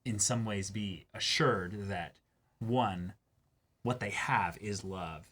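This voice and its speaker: A man with a bright, forward voice pitched a little low co-hosts a casual discussion show. He leans on his words, stretching and repeating phrases for emphasis as he gives his commentary. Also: slow pace, husky. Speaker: co-host of an anime reaction show.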